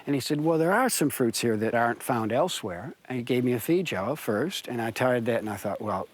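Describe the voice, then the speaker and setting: A man's voice: medium pitch, animated, brisk. An older man from Detroit with an American accent, a farmer and winemaker chatting casually and reflectively about his life. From Detroit, USA. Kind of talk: casual interview chat.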